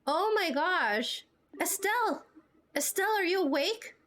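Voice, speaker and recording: A woman doing amateur game voice acting as a bubbly, friendly, excitable young female fighter with a perky, informal manner. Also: very high-pitched, a little slow, heavily acted. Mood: urgent. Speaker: amateur voice actor playing through a game.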